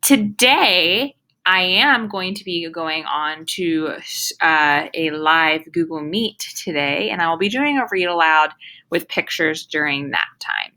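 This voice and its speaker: A woman speaking as an elementary teacher, giving young pupils instructions in an encouraging, deliberate and emphatic way. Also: low voice, pushed and bright.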